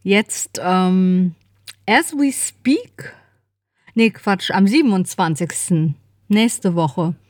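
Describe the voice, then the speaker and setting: A woman's voice: lowish voice, lively intonation, drawn-out words, darkish tone, no room sound, clean studio sound. A woman in her fifties chatting casually and informally as a podcast co-host. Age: 50s.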